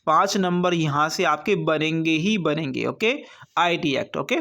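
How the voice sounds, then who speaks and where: High-pitched Indian man teaching exam prep. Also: measured pace, gravelly, fairly bright tone. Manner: repetitive, asks and answers own questions, frequent check-in tags. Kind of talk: informal exam-prep lecture.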